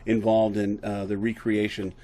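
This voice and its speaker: A middle-aged man chatting casually as an interview guest about his creative work, enthusiastic but halting in his delivery. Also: fairly low pitch, monotone, mellow. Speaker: guest audio drama creator.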